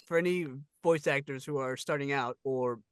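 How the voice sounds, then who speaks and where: A man's voice: mid-range voice, normal speed, smooth, no echo, clean studio sound. A man with a New Zealand accent hosting a casual interview in an informal, encouraging, jokey way with some swearing. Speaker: interview host.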